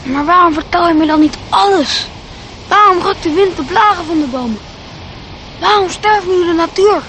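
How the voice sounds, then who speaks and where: Young boy, high voice, inquisitive, pleading, dreamy, radio drama, faint noise. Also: normal speed, fairly bright tone, rough recording.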